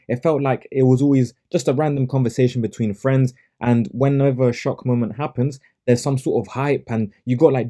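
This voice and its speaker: A man's voice: mid-range voice, warm tone. A young man, not a native English speaker, giving a blunt, sarcastic solo review of wrestling shows.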